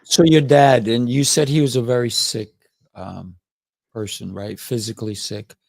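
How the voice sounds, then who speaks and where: A man's voice: medium pitch, fairly even intonation, normal speed, creaky, a little mellow, no echo. A man hosting a podcast and interviewing a guest, encouraging him and murmuring "mm" as he listens. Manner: encouraging, frequent "mm" backchannels. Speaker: podcast host.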